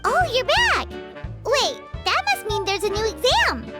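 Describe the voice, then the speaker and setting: A woman voicing a tiny, childlike fairy companion in an anime game, squeaky, excitable and blunt. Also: normal speed, heavily acted. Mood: surprised. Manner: refers to self in third person, exclamatory. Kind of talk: anime game character acting. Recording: music under the voice.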